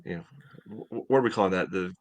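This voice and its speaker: A man's voice: sits low, fast. A middle-aged American man casually analysing sports as a podcast co-host on a video call. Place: room at home, indoors. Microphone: video call from a computer.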